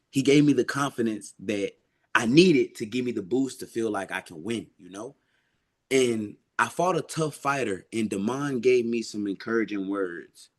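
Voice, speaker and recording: A man being interviewed as an amateur boxer, talking casually and reflectively in an earnest, emphatic way. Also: on the low side.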